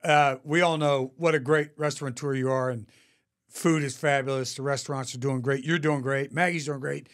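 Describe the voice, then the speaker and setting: An American man in his sixties hosting a casual podcast interview, chummy and quick to chime in with agreement. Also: mid-range voice, steady tone. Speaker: podcast host. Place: small podcast studio. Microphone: close studio mic on a boom arm.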